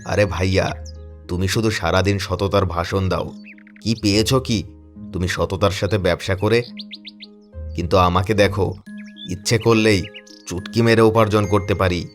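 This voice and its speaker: An actor voicing a sly, lazy younger brother who mocks and boasts in a cartoon. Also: male voice, sits low, steady tone, normal speed, pauses often, soft background music, natural voice. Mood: mocking. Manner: mocking, boastful, laughing. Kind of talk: cartoon voice acting.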